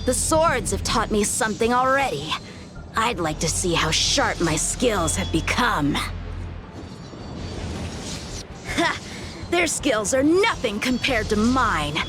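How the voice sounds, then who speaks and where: A woman playing a proud, overconfident teenage boy swordsman in a video game, speaking in a measured, self-assured way. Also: on the high side, sing-song, over music. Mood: cocky. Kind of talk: video game cutscene acting.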